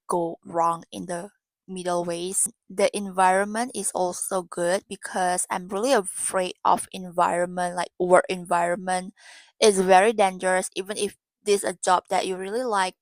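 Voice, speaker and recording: A woman in her twenties, a native Indonesian speaker, talking through her goals in casual, halting English and often searching for words. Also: medium pitch, no echo, low-quality recording. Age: 20s. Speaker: vlogger. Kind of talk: casual vlog talk about goals.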